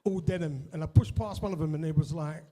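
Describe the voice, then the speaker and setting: High-voiced East London stand-up comic. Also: male voice, fast talker, dark tone. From East London, England. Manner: chatty, swears freely, many repeated tags like "innit" and "right". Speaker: stand-up comedian. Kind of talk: stand-up comedy set with crowd work. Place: comedy club with a live audience.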